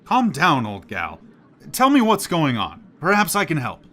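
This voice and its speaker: An actor playing an earnest, astonished professor in a radio play, full of questions. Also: male voice, fairly high pitch, wildly swooping pitch, unhurried, a bit bright, exaggerated voice. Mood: urgent, worried. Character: earnest, astonished male professor investigating the uncanny.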